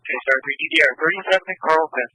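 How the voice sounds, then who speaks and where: A man's voice: fairly high pitch, rapid-fire, sharp tone, no room sound, poor audio. A man speaking as a police officer over scanner radio, giving terse, repetitive status updates. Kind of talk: police pursuit radio reporting.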